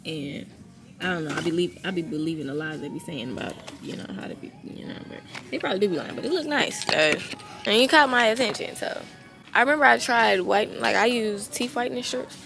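A woman's voice: medium pitch, fairly quick, creaky, music in the background. A teenage girl, vlogging in a casual, rambling way, with a stuffy, congested nose. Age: teens. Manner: chatty and candid, rambling asides. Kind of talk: casual vlog chat.

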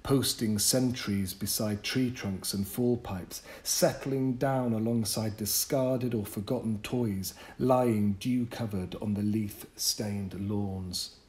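A man reading a literary short story as an audiobook, with measured, deliberate phrasing and pauses. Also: on the low side, steady tone, a little mellow. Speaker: audiobook narrator. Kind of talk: scripted literary story narration.

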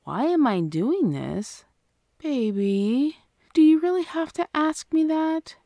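A woman playing an affectionate, protective, gently bossy girlfriend in an ASMR roleplay, speaking tenderly and coaxingly. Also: high voice, very animated, takes it slowly, no room sound, clean studio sound, heavily acted.

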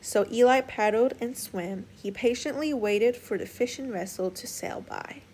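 Female storyteller, slightly high pitch, for scripted children's picture book read-aloud. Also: measured pace, darkish tone, a little hiss or hum.

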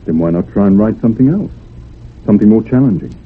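An actor playing a calm doctor, measured, probing and authoritative, in a radio drama. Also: male voice, fairly low pitch, slightly rough. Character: calm, probing male doctor advising a patient. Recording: tinny, noisy old radio recording.

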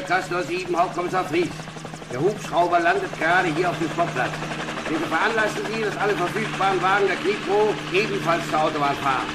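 An impatient male chief inspector talks with hearty command in a radio drama. His voice is fairly high for a man and a bit distant under loud helicopter noise. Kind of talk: radio-play acting.

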